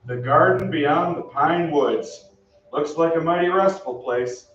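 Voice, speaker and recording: A man's voice: on the high side, a little slow, a bit bright. A man playing a brash, folksy, cocky American soldier in an old-time radio style stage show. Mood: easygoing. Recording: echoey stage, distant mic, muffled, faint noise.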